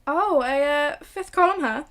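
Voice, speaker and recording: A woman voicing a patient, gently teasing mother in a student radio drama. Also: high voice, lively intonation, measured pace, exaggerated voice. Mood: indulgent. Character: amused, patient mother of a young girl.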